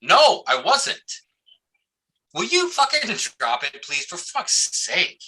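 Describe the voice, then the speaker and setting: A man acts out a defensive policeman and army veteran in a staged play. The voice is very bright, hard and forceful. He is blunt, profane and quick to dodge a question. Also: sits high, wildly swooping pitch, slightly rough, heavily acted. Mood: exasperated. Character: defensive, foul-mouthed male cop and army veteran. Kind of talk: live staged play reading.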